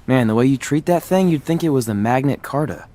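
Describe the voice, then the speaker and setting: A man's voice: medium pitch, animated, fairly quick, mellow, close to an everyday voice. A man playing a goofy, easygoing young wrestler in a video game, with a joking, casual delivery. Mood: breezy, joking. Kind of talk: scripted video game cutscene acting.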